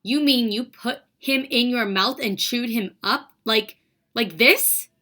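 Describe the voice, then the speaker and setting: A woman's voice: fairly high pitch, slow, bright, forceful tone. A woman voicing a flustered, fussy mother in a storybook read-aloud. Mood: coaxing.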